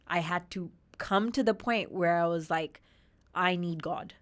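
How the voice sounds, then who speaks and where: A woman in her thirties from Australia, a podcast guest telling her personal story candidly, with emphatic, animated retellings. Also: on the low side, measured pace. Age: 30s.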